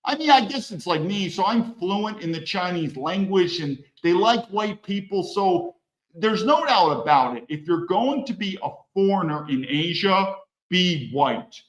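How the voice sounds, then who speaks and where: A man's voice: high-pitched, expressive, a little slow, reverberant, low-quality recording. A man hosting a solo podcast, talking bluntly and emphatically and repeating points for stress. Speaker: podcast host. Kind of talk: opinionated solo monologue.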